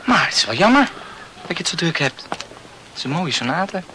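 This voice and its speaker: A man's voice: on the high side, sing-song, normal speed, background noise. A man playing a chatty, opinionated male student in a radio play, blunt and gossipy, swearing mildly. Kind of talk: conversational radio drama acting.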